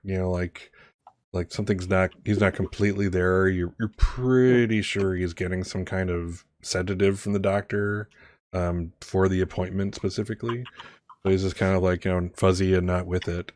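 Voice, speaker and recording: A man's voice: low voice, fairly even intonation, moderate pace, a little mellow, dry recording. A man narrating a tabletop role-playing game as game master, conversational and rambling, often correcting himself.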